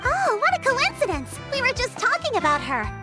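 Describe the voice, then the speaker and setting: A woman's voice: extremely high pitch, wildly swooping pitch, moderate pace, loud music behind the voice, heavily acted. A woman voicing a kindly, girlish young village chief in a video game, sweet and conscientious. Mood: friendly, gracious. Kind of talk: English video game voice acting.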